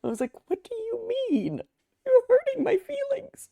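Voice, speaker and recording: A woman's voice: extremely high pitch, wildly swooping pitch, normal speed, dark tone. A young woman from Chicago telling a story in a casual podcast chat, often laughing, swearing and acting out what people said. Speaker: podcast co-host.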